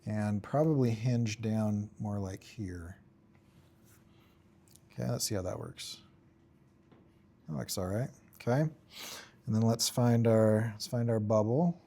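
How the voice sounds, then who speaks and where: A man giving an informal software tutorial, thinking aloud in short, offhand fragments as he works. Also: lowish voice, slow pace, pauses often. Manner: offhand, talks in short fragments while working. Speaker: tutorial instructor.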